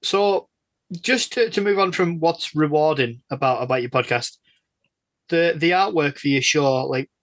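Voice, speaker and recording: A man's voice: fairly high pitch, normal speed, a bit bright, dry recording. A man hosting a casual interview, chatty and emphatic, often stammering over and repeating his phrases. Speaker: podcast interviewer.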